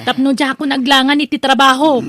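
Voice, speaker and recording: A woman's voice: sits high, little pitch movement, fast, natural voice. A woman playing a dutiful, worried working daughter of elderly parents in an Ilocano comedy audio drama. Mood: coaxing, concerned.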